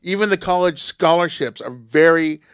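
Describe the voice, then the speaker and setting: A man's voice: a little high, normal speed, clear, resonant tone, dry recording. Blunt man who swears freely. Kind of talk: casual group chat.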